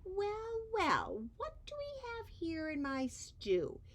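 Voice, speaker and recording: A woman's voice: very high-pitched, huge pitch swings, slow, fairly bright tone, voice pushed up, heavily acted. A woman voicing a hideous, gleefully hungry monster in a storybook, savoring each rhyme in a sing-song way. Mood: delighted, hungry.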